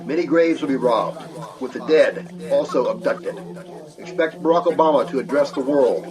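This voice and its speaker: A man's voice: medium pitch, close to an everyday voice, rough recording. A man playing a solemn, emphatic doomsday preacher delivering an urgent, ominous warning, as a call-in pastor in an audio drama. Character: grave doomsday preacher warning of mass abduction. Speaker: pastor calling in to a show. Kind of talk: urgent apocalyptic warning monologue.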